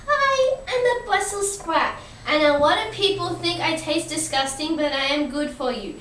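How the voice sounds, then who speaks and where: A woman's voice: very high voice, animated, moderate pace, fairly bright tone. A young woman voicing a cheery vegetable puppet, reciting earnestly in a school puppet show. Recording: roomy, noisy, distant amateur recording.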